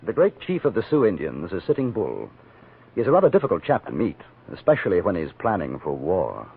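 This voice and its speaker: An actor playing a polite, wry English gentleman reporter with an English accent, measured and understated, in radio drama style. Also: sits low, huge pitch swings, creaky, faint music behind, heavily acted. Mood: dry, composed. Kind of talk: first-person radio drama narration and dialogue. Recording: narrow-band 1950s radio recording.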